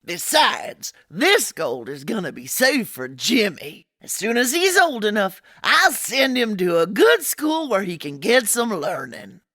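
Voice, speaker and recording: A woman's voice: medium pitch, very animated, a little slow, hardly any pauses, bright, forceful tone, dry recording, clean studio sound, exaggerated voice. A woman playing a kindly old Gold Rush cook with folksy, rustic frontier speech in a radio-style audio drama. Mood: earnest.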